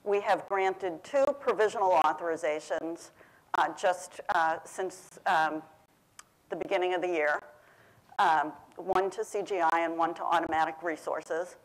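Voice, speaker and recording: Woman panelist, lowish voice, loud and steady, speaking into a panel mic in a big room. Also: a little slow, low-quality recording. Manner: says she has a loud voice. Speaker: government program official on a panel. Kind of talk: prepared panel remarks. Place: large conference room. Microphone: panel microphone.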